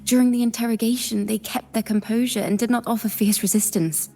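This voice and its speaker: Game role: inquisitive young female bard, soft-spoken and reflective, higher register, music underneath. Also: steady tone, vocal fry. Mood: inquisitive, reasoning. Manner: measured, reflective. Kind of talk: video game voice acting. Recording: music behind the voice.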